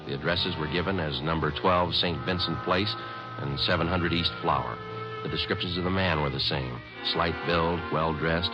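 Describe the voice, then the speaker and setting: An actor playing a dedicated male police sergeant who narrates in a clipped, matter-of-fact way, in a 1950s radio drama. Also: a bass voice. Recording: dull old radio broadcast with music.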